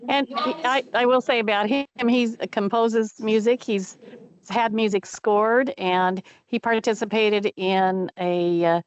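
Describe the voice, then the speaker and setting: A woman's voice: mid-range voice, smooth, no room sound, lo-fi sound. An older retired woman chatting through introductions as committee chair on a call. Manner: chatty, friendly, with fillers. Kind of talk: meeting introductions.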